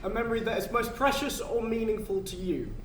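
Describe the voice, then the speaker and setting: A man on stage playing an earnest, careful official with a measured, questioning, slightly awkward manner. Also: high-pitched, close to an everyday voice. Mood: patient, probing. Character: earnest, careful male afterlife official, patient but self-doubting. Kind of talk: stage play acting. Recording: distant roomy stage recording, faint noise.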